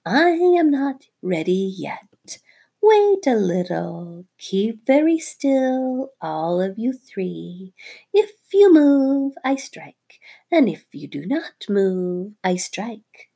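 A woman voicing a cruel female cobra, speaking in a slow, hissing half-whisper. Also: mid-range voice, big pitch swings, creaky, warm tone, breathy edge, pitched above the performer's own voice, full character voice. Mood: menacing.

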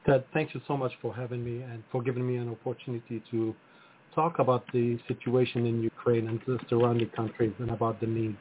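A man's voice: mid-range voice, flat delivery, brisk, a little hiss or hum. Man from lebanon, earnest interview answers, lots of uh, tinny phone sound with faint background. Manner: earnest, frequent uh fillers. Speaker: charity president and guest.

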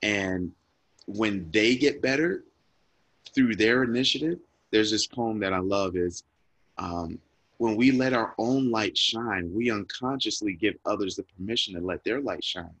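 Man, lowish voice, earnest, emotional, dry close recording. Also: a little slow, a bit bright, dry recording. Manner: earnest, reflective, repeats words when moved. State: emotional, near tears. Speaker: guest speaker. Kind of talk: emotional personal storytelling.

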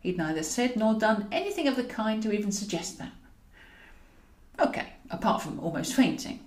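A woman reading a comic romantic novel aloud in an expressive storytelling style, as the author of the book. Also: medium pitch, moderate pace, roomy sound. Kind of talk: expressive audiobook narration of comic romantic fiction.

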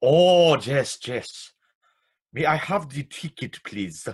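A man's voice: medium pitch, lively intonation, slow pace, slightly rough. A man playing a courteous, fussy clock repairer in his fifties who repeats himself politely, in vintage radio drama style. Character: courteous, fussy 56-year-old male clock repairer shopkeeper.

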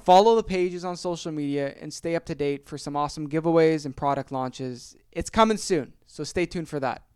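Chatty Canadian man, smooth dry voice. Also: fairly high pitch, fairly quick, a little mellow, dry recording. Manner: chatty, rambling, repeats phrases, many fillers. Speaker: podcast host. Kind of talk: casual solo podcast rambling.

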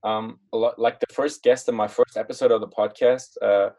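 A man's voice: fairly low pitch, flat delivery, normal speed, warm tone. A young man hosting a podcast, asking long, rambling questions full of restarts and fillers. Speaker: podcast host. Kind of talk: casual interview hosting.

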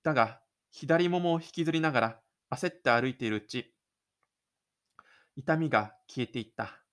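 A man's voice: medium pitch, normal speed, fairly bright tone. A man reading scripted third-person narration for an audio drama in a dramatic storytelling manner. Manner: dramatic storytelling with spoken sound effects.

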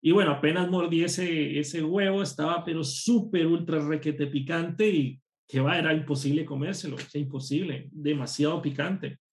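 A man from Costa Rica, a programmer and course instructor, telling lively personal stories as a guest in a casual interview. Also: a little high, normal speed, hardly any pauses. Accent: none, a neutral Spanish. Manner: lively anecdotal storytelling.

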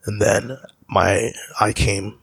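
A man's voice: on the low side, steady tone, slow pace, creaky, fairly bright tone, slight background noise. A man from Zambia, a musician being interviewed, who tells reflective stories in a rambling way. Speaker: musician guest. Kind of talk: casual interview talk.

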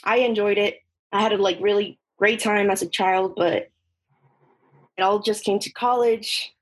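Young woman, husky voice, Spanish speaker, mid pitch, casual storytelling, informal. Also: fairly even intonation, a little slow. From northern Mexico. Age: young adult. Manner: informal, heavy use of "like", quoting dialogue. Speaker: podcast participant.

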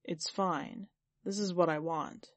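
A woman plays a gentle man in an audiobook story, calm and resigned. The delivery is soft-spoken and the sound is dry and close. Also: fairly low pitch, slow pace, no echo. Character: gentle, resigned man volunteering for a lonely mission.

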